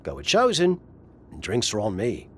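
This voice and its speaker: An actor playing a playful, scheming wrestling buddy in a video game cutscene, with a jokey, casual manner. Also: male voice, lowish voice, wildly swooping pitch, moderate pace, fairly bright tone, slight background noise. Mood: casual coaxing.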